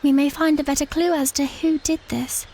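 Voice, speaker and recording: A woman playing a scholarly, caring young fantasy princess in an audio drama, with an expressive delivery. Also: high voice, normal speed. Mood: encouraging. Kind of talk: cinematic audio drama acting.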